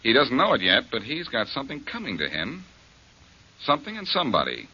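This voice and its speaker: A man's voice: medium pitch, huge pitch swings, a little fast, sharp tone, breathy edge, exaggerated voice. An actor playing a tough, sardonic male detective who threatens and wisecracks, in 1940s radio drama style. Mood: menacing. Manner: menacing, sardonic. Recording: dull old radio broadcast.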